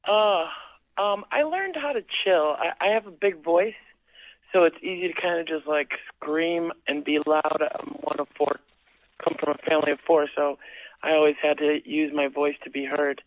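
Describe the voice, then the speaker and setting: A woman's voice: sits low, normal speed, no room sound. A woman calling into a radio show as a singing contestant. She talks in a bubbly, giggly, chatty way. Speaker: singing contestant, phone guest. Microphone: telephone. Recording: tinny phone line.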